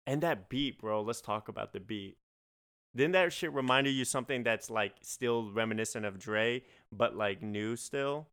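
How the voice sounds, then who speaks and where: Smooth-voiced, excitable male podcast co-host. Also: medium pitch, moderate pace. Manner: excitable, insistent, profane. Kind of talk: casual music review chat.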